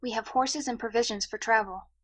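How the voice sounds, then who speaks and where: A woman's voice: on the high side, steady tone, a little fast, a bit bright. A woman playing a timid, earnest young princess with a hesitant, stammering manner in an audio drama. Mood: nervous, earnest. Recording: music behind the voice.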